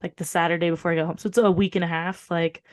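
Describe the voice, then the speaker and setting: A woman with a slightly hoarse, recovering voice, chatting casually and informally as a podcast co-host, with some swearing. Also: lowish voice, flat delivery, rapid-fire. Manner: rambling, informal, frequent swearing. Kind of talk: casual chat.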